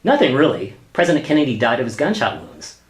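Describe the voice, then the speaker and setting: An actor playing a hesitant, exasperated government office worker and husband in a sitcom-style radio play, with a wry manner. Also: male voice, mid-range voice, wildly swooping pitch, fast talker. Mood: patient, weary. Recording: roomy, echoey sound.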